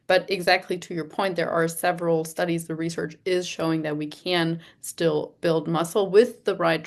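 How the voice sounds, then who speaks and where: Female podcast host, slightly low voice, conversational, affirming, feeling her way through phrases. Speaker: podcast host, nutrition coach. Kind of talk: conversational interviewing.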